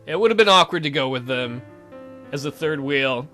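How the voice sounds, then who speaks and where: Man as an awkward, blunt young guy, bright voice. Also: on the high side, expressive, moderate pace, soft background music, pitched below the performer's own voice. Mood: flat, sheepish. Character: awkward, blunt, laid-back young man in a visual novel.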